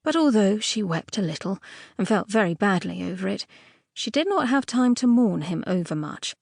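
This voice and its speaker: A woman reading a storybook fairy tale as a scripted audiobook narration, expressively and a little primly, with dramatic emphasis and pauses. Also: medium pitch, sing-song, vocal fry, darkish tone, no room sound. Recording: dry close studio audiobook recording.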